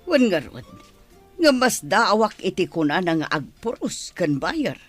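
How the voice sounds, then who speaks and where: A woman's voice: fairly low pitch, big pitch swings, measured pace, faint music behind. A woman playing a blunt, choosy, opinionated villager in an Ilocano comedy radio drama. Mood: dismissive.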